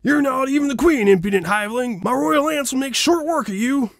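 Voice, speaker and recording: A man's voice: very high-pitched, a little fast, no echo, clean studio sound, voice pushed up. A man voicing a solemn, heroic royal cartoon pony in a dramatic, declamatory manner. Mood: defiant.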